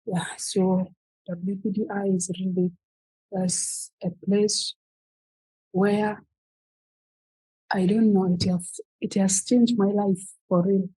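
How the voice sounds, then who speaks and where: A woman's voice: medium pitch, little pitch movement, warm tone, breathy edge, no room sound, poor audio. A young woman in her twenties from South Sudan, a footballer answering interview questions with frequent fillers and restarts. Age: 20s. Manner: halting, many fillers and restarts. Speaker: guest, footballer.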